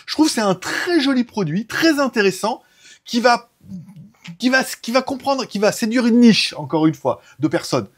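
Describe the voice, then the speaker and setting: A man with a husky voice, chatting informally and ramblingly as host of a tech show. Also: very high-pitched, very animated, moderate pace. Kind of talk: casual tech chat.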